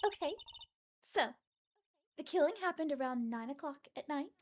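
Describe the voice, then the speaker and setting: Woman playing a high-voiced bratty young witness. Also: lively intonation, slight background noise. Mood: smug. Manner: theatrical, taunting, drawn-out indignation. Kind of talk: video game fandub acting.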